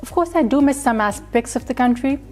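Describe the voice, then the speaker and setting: A woman in her thirties from Pakistan, a guest talking softly about her life, often pausing with ums. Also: high voice, expressive, faint music behind. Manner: soft-spoken, warm, frequent ums and mm-hmms. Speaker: guest, entrepreneur. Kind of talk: casual interview.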